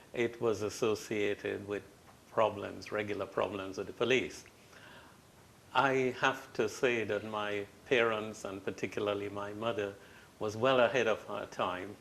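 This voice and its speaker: An older man, answering as a guest in an interview and telling his story in a measured, reflective way. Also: fairly low pitch, vocal fry, fairly bright tone, slight background noise, poor audio. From Trinidad.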